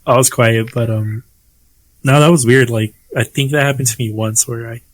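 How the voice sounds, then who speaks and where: A man's voice: mid-range voice, steady tone, normal speed. A young man co-hosting a podcast over a remote call, rambling casually, packing in "like" fillers and swearing offhand. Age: young adult. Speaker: podcast co-host. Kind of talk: casual chat.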